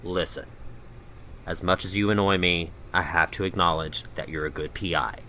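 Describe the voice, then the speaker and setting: An actor playing a mercenary blogger who teases and drives a hard bargain, with a smug manner. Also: male voice, deep voice, fairly even intonation, normal speed, pushed and bright, a little hiss or hum. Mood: flattering. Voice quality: smug, teasing.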